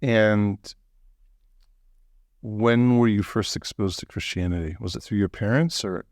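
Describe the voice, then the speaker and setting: A man hosting a podcast interview, relaxed and joking, with short acknowledgments. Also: fairly low pitch, measured pace, no room sound, studio quality. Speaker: podcast host. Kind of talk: casual interview conversation.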